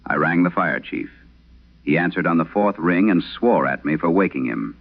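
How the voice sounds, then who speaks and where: Old radio horror narrator guy, really low n gravelly, grave storytelling, narrow muffled sound. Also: expressive, moderate pace. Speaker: narrator of a horror audio drama. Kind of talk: scripted first-person storytelling, vintage radio horror. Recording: muffled, band-limited vintage radio sound.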